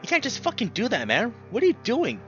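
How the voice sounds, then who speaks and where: Guy doing a worried young bear from a visual novel, higher voice, protesting, kinda lo-fi. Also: huge pitch swings, quick pace, a bit bright, soft background music, exaggerated voice. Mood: indignant protest. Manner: exclamatory, protesting. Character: anxious young male bear, protective, indignant.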